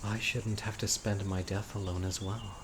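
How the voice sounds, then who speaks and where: A man playing an obsessive, dying young witch in an audio roleplay, speaking to his beloved in a deliberate, intimate, pleading way. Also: lowish voice, little pitch movement, noisy background, lightly acted. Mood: calm, ominous. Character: obsessive, dying young male witch, devoted yandere lover. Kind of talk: audio roleplay, dramatic monologue to listener. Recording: outdoor nature ambience, crickets and birds. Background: insects, birdsong.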